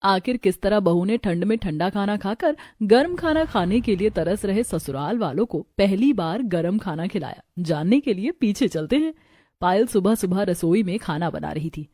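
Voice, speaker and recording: Mellow woman narrating a moral story. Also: medium pitch, expressive, normal speed, no room sound.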